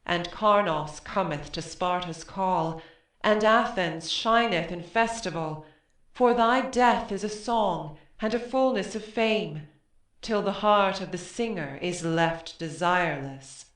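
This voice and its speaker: Woman as low, slow tragic chorus voice, echoey. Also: fairly bright tone. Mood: solemn, exalting. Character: solemn female chorus singer of praise, Greek tragedy. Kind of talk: classical verse drama reading. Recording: echoey room.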